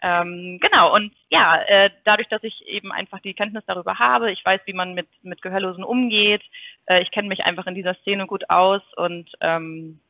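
A woman's voice: medium pitch, moderate pace, gravelly, bright, forceful tone, no room sound, dull audio. A woman in her thirties, a bilingual native signer, answering podcast interview questions with frequent hesitations. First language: German Sign Language. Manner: hesitant, many filler sounds. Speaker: tax advisor guest.

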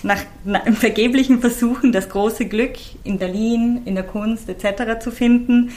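A woman's voice: fairly high pitch, fairly even intonation, moderate pace, darkish tone. A woman co-hosting a literature podcast, asking engaged questions and reading a plot summary. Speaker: podcast co-host. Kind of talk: casual chat and read summary.

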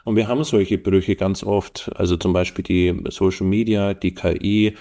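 A man's voice: deep voice, steady tone, moderate pace, dark tone. A middle-aged man from the Allgäu, a podcast guest explaining his research thoughtfully with frequent fillers. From Allgäu, Germany. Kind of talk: podcast interview.

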